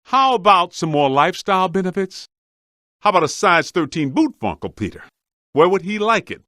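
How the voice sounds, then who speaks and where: Streetwise ghost hunter, higher-pitched, very dynamic intonation, wry, colloquial, video game dialogue. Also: male voice, very animated, normal speed, bright, forceful tone, no room sound, clean studio sound, exaggerated voice. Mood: exasperated. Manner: colloquial, wry, plain-spoken. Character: streetwise, wisecracking male ghost-hunting team member.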